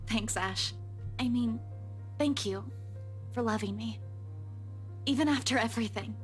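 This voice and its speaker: A woman's voice: a little high, a little slow, close to an everyday voice. An actress voicing an earnest, devoted young woman in a visual novel game. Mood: sad, apologetic. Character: Isabella, earnest young woman, devoted to family, teasing friend. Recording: music behind the voice. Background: game music.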